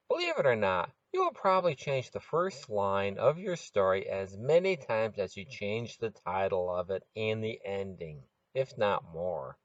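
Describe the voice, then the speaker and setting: A man hosting a podcast, reading scripted narration in an expressive, presentational way. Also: mid-range voice, no echo. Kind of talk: scripted narration of short fiction and tips. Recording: dull, dry lo-fi sound.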